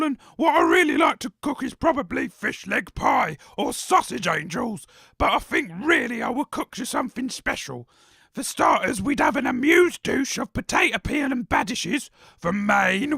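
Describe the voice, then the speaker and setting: A man playing an eccentric, soft-hearted cafe chef in a comedy audio drama, raspy, naive and rambling. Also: extremely high pitch, dry recording, studio quality, full character voice. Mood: eager. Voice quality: raspy, creaky.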